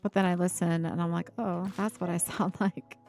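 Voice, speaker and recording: A woman over twenty-five chatting casually on a live stream, with a breathy, giggly laugh and lots of fillers. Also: lowish voice, fairly even intonation, normal speed, a little mellow, soft background music.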